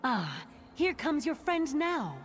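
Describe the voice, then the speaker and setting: Discreet, lilting island guide. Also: female voice, high voice, sing-song, takes it slowly, exaggerated voice. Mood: conspiratorial. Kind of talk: video game character dialogue. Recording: background music under the voice.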